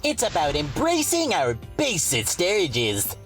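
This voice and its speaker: A woman's voice: lowish voice, wildly swooping pitch, takes it slowly, full character voice. Lady doing pompous pervy artist character, super swoopy voice, fandub, faint music. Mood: grandly self-important. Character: grandiose, lewd eccentric artist. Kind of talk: fan dub voice acting.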